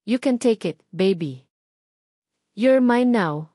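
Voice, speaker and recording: A woman voicing a dark, possessive male lover with a commanding, growling manner in a narrated romance story. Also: mid-range voice, expressive, slow, dry recording, clean studio sound.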